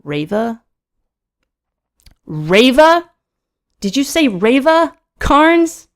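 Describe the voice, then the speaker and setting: A woman's voice: high voice, very animated, extremely slow, pitched above the performer's own voice, exaggerated voice. Woman acting a wired, desperate man, emphatic and insistent. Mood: incredulous. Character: wired, desperate, sneering young man confessing to murder.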